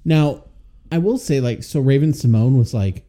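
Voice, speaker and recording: Podcast co-host, male, very dark mellow tone, chatty recapper who acts out quotes. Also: mid-range voice, normal speed. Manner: chatty, quotes scenes in playful voices. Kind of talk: casual movie recap chat.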